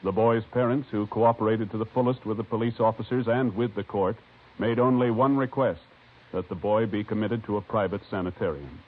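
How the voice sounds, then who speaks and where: A man announcing in a formal, measured scripted style for a 1950s radio broadcast. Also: on the low side, fairly even intonation. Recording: muffled vintage radio broadcast.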